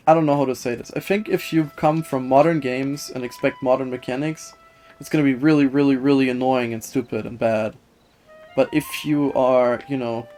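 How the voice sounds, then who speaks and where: A man live streaming a video game, chatting casually with viewers in a hesitant, halting way that often repeats words. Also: mid-range voice, darkish tone. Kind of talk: casual chat while gaming, reading and answering viewer comments. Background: video game music.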